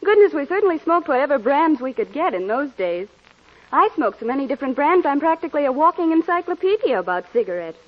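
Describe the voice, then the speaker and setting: A woman's voice: high-pitched, sing-song, fast. A woman reading a scripted testimonial in a 1940s radio commercial. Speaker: performer in a radio cigarette commercial. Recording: dull old radio recording.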